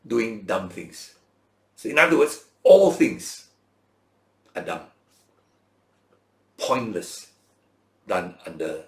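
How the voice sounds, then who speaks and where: A man with a mid-pitched, gravelly voice teaches scripture informally. He talks in plain everyday language and often poses questions to his listeners. The recording is roomy, with clear echo around his voice. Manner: rhetorical questions and check-in tags; dramatizes imagined speakers' words in everyday language. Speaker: Bible school teacher. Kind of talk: informal Bible lesson, conversational preaching. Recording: roomy, echoing teaching space.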